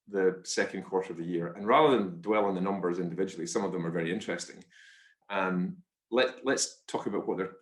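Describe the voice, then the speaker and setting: A man's voice: low voice, reverberant. A man with a congested, stuffy nose, presenting to investors over a video call in a chatty, self-deprecating way, with many ums and restarts. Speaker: fund manager presenting a webinar.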